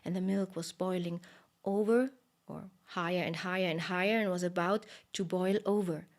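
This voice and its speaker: A woman telling a story live in an expressive way, with fillers and dramatic pauses. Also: on the low side.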